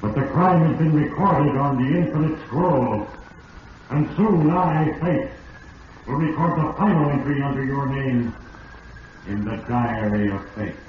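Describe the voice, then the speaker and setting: Solemn doom narrator, old radio thriller, big echo, muffled. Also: male voice, medium pitch, recorded from a distance, a little hiss or hum. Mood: solemn, accusing. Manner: portentous, deliberate. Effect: heavy echo. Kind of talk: 1940s radio drama narration.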